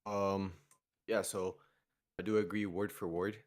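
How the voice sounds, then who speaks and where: Man, low voice, casual talk, podcast guest. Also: little pitch movement, unhurried. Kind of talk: casual chat.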